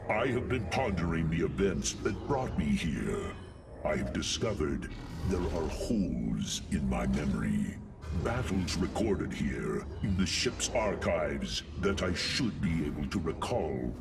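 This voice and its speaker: Very deep, gravelly alien warrior. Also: male voice, sing-song, exaggerated voice. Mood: troubled, resolute. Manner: slow, solemn, formal. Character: troubled, honourable old alien warrior in a machine body. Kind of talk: video game cinematic dialogue. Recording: distant-sounding voice under music.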